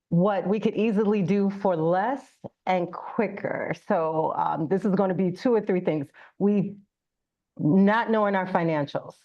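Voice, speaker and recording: Woman coaching business owners, slightly muffled audio. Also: mid-range voice. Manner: conversational, illustrates points with acted-out examples and rhetorical questions. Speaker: guest speaker, small business coach. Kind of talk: informal business presentation.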